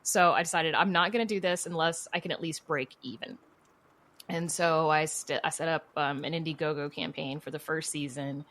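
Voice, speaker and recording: A woman's voice: low voice, fairly even intonation, normal speed, fairly bright tone. A woman podcast creator and writer answering interview questions in an informal, chatty, storytelling way. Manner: informal and chatty, laughs easily while telling stories. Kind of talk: casual conversational interview answers.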